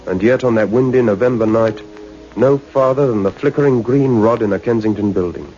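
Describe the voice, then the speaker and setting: An actor playing a portentous male narrator of a sci-fi radio serial, solemn, measured and suspenseful. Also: lowish voice, warm tone, lightly acted. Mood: ominous, solemn. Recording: muffled radio recording with music behind.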